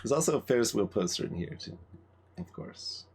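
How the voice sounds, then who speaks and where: A man's voice: lowish voice, animated, a little fast, a little hiss or hum. A man giving casual video game commentary as a streamer, musing in muttered asides.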